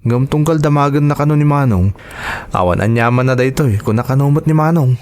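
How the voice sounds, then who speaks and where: A man's voice: mid-range voice, brisk, a little mellow, lightly acted. A man playing a concerned, plain-spoken husband in a family audio drama, with a sober, earnest delivery. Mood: somber. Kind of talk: dramatized radio drama acting.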